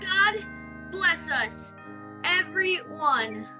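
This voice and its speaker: Woman acting very high-voiced eager young boy. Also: animated, extremely slow, dull audio, music in the background, full character voice. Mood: sweet. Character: eager, polite young London street boy. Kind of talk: theatrical radio play dialogue.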